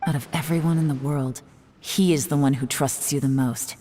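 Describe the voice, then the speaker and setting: Game character: cool, taunting female operative, low register, controlled delivery, heavy reverb. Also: steady tone, dark tone, a little hiss or hum. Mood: stern. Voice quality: low-pitched. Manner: measured, coolly taunting, controlled. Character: cool, calculating female intelligence agent, mocking and self-assured. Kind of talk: scripted game voice acting. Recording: long reverb, faint music behind.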